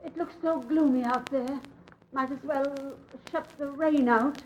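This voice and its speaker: A woman's voice: high voice, fairly even intonation, a little slow, lo-fi sound. A woman playing a genteel English mother and polite, anxious hostess in a suspense radio drama, speaking hesitantly. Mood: polite unease. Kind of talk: old-time suspense radio drama acting.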